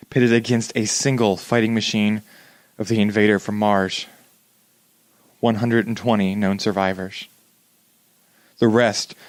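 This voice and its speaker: A man's voice: mid-range voice, no echo, close to an everyday voice. A man playing a radio news announcer in a 1930s-style drama, reading bulletins in a formal, grave manner.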